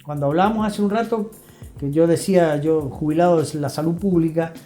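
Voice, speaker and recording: An older man with an Argentine accent talks like a therapist being interviewed. His voice is soft-edged and mellow, his delivery didactic and emphatic. The room echoes, with faint music underneath. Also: fairly high pitch, fairly quick. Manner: emphatic, didactic, repeats phrases. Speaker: guest therapist. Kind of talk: reflective interview talk. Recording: roomy echo, faint music.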